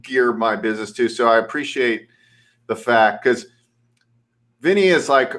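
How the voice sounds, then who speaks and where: A man's voice: medium pitch, a little slow, a bit bright. A man in his forties chatting casually on a live show, rambling with many restarts and fillers. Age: 40s. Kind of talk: casual chat.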